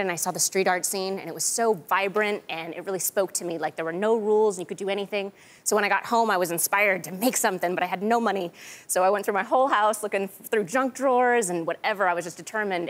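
An American woman in her thirties giving enthusiastic, conversational answers as a guest artist in an interview. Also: medium pitch. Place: large TV studio with audience. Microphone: lapel mic. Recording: studio audience faintly behind.

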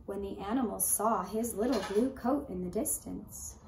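A woman narrating a scripted children's story in a deliberate way, with dramatic pauses. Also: mid-range voice, a little mellow. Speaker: puppet show storyteller. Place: indoor room with draped puppet stage. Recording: roomy echo, faint background noise.

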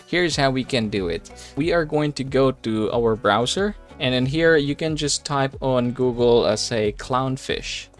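A man hosting a software tutorial, explaining things step by step in a casual way. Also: medium pitch. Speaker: tutorial host. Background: background music.

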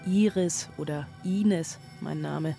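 A woman's voice: low voice, vocal fry, dark tone, exaggerated voice. Haunted mother confessing over prominent music. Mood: numb, brooding. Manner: measured, literary, fragmented phrasing. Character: haunted single mother, bitter, bewildered, confessing. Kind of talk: German radio drama monologue.

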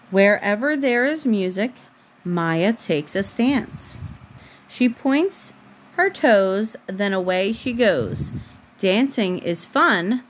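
A woman's voice: medium pitch, poor audio. A woman reading a picture book aloud to her small child at bedtime, as a mother at home, recorded on a phone. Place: bedroom at home.

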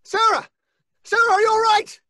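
An actor playing a devoted, distraught husband, earnest and pleading, in old-time radio horror style. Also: male voice, extremely high pitch, flat delivery, normal speed, pushed and bright, no echo, studio quality. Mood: alarmed. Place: foam-lined recording booth. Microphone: studio microphone, close.

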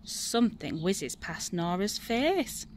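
Expressive young woman reading to children. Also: mid-range voice, takes it slowly, slight background noise. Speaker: storybook reader. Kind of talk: expressive children's story narration.

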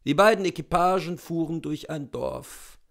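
A man in his thirties narrating a literary story aloud in a measured, expressive way. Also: on the high side. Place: small indoor room lined with bookshelves.

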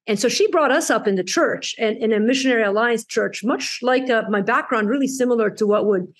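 A woman's voice: a little high, brisk. A woman in her forties from Lebanon, a doctor and author giving interview answers, telling her story fluently and articulately over a video call. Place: home room indoors. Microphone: laptop camera on a video call.

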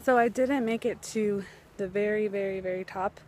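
A woman's voice: medium pitch, moderate pace, a little mellow, soft background music. A young woman in her twenties filming a casual travel vlog with a handheld camera, talking in a chatty, upbeat way on a beach and a boat. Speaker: travel vlogger. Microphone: camera held at arm's length.

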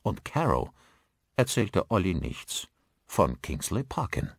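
A male audiobook narrator with a lowish voice. He tells the story expressively with very lively intonation, recorded dry and close. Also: takes it slowly, breathy edge, dry recording.

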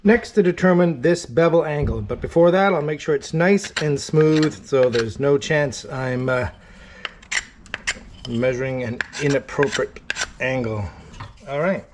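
Methodical middle-aged shipwright, fairly high voice. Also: male voice, a little slow, noisy background. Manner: thinking aloud, methodical and explanatory. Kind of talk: workshop how-to narration. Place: wooden-walled boat shop, indoors.

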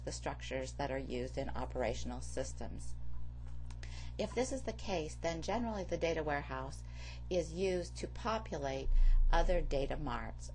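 A woman with a low, deep-set voice lectures through a slide presentation. Her delivery is steady and explanatory, the pace of a course instructor. A faint electrical hum sits under the recording. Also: poor audio. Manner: measured, explanatory. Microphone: voice-over over slides.

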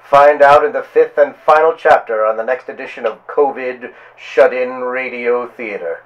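A man hosting and narrating a pulp horror radio tale in a melodramatic, drawn-out manner. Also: mid-range voice, fairly even intonation, muffled recording. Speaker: host and narrator.

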